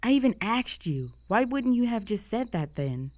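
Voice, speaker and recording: A woman's voice: on the high side, big pitch swings, moderate pace, a little mellow, slight background noise. A young woman playing a jealous, flustered roommate with a secret crush in an intimate romantic audio roleplay, speaking in a hesitant, conversational way. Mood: disbelieving, flustered. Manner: conversational, hesitant, sighing, emotionally exposed.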